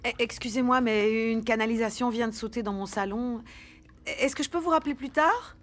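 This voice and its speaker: A meek female employee voiced by an actress in a radio comedy-drama. Her voice sits fairly high and she speaks hesitantly, stumbling over words in a flustered way. There is faint noise in the background. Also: normal speed, close to an everyday voice. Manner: hesitant, timid, stammering. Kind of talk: satirical radio drama dialogue.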